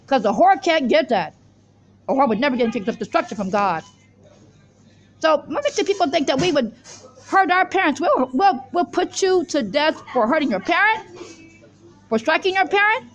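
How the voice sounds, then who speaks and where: A woman giving a rambling, unscripted religious monologue in an insistent, repetitive, disjointed way. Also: high-pitched. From Israel. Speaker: self-styled religious teacher introducing the weekly Torah portion.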